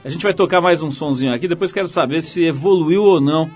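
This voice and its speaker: A man's voice: fairly high pitch, quick pace, soft background music. A man hosting a casual radio interview, joking and laughing as he asks questions. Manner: jokey, laughs while asking. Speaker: radio show host.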